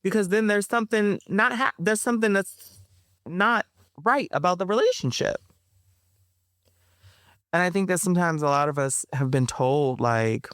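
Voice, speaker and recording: A woman being interviewed as a playwright, talking in a chatty, animated way, with frequent fillers and bits of laughter. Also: low-pitched, no echo, clean studio sound. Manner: animated, chatty, addresses listeners as y'all. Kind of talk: casual interview conversation.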